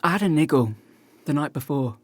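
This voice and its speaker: A man's voice: a little high, expressive, a little fast, dry recording, close to an everyday voice. An actor playing a wry, self-deprecating, slightly hesitant man in a dorm, in a naturalistic radio play. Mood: hesitant, confessional.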